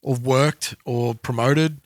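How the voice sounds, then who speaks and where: A man's voice: medium pitch, fairly even intonation, slow pace, dry recording. Australian man, chatty podcast co-host, dry sound. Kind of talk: casual chat.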